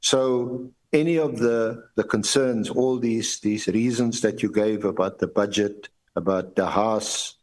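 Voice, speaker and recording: A South African man questioning a witness formally as evidence leader at a hearing, with halting, repetitive phrasing and lawyerly deference. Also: lowish voice, a little mellow, rough recording.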